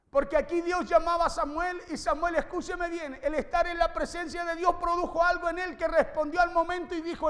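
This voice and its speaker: A man's voice: very high voice, fairly bright tone. A man preaching an impassioned sermon to a congregation, exhorting listeners and repeating phrases for emphasis. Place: large reverberant room, preaching to a congregation. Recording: reverberant hall sound.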